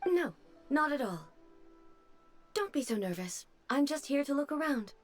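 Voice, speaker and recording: A woman's voice: sits high, faint music behind. An actress voicing a composed, regal woman ruler in a game. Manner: calm, measured, slightly puzzled. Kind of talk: game dialogue.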